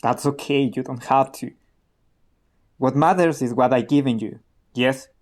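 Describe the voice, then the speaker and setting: A man's voice: medium pitch, a little slow, darkish tone, close to an everyday voice. Man acting an earnest, disillusioned activist. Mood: guarded. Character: disillusioned, principled male activist turned informant from El Salvador. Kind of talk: radio play acting.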